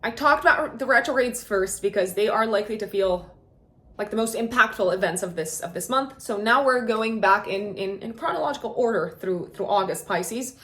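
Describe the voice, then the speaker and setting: A woman's voice: mid-range voice, animated, normal speed, fairly bright tone. A woman who is a native Romanian speaker, chatting casually and playfully as an astrologer giving a horoscope, thinking aloud as she goes. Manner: informal and playful, thinks aloud with repeated words. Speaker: astrologer and host. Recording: echoey, reverberant room.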